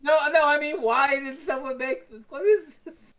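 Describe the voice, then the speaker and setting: A woman's voice: high-pitched, moderate pace, a bit bright. A woman co-hosting a podcast in casual, joking banter. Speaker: podcast co-host.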